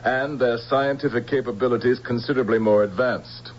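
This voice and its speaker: A grave, determined general played by a man in a science fiction radio play. He presses each point with measured, theatrical emphasis. The sound is dull and old, with light hum and hiss underneath. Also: mid-range voice, fairly even intonation, natural voice. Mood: grave, reporting. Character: grave, resolute male general of a future army. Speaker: radio drama actor. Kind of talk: theatrical radio drama acting.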